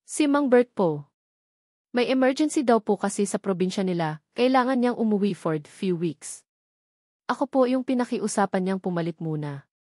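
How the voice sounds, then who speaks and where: A woman voicing a calm, patient, respectful gardener, a man in his late thirties, in an audio love story. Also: mid-range voice, moderate pace, no echo, studio quality, close to an everyday voice. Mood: calm, polite. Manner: calm, respectful, soft-spoken explaining.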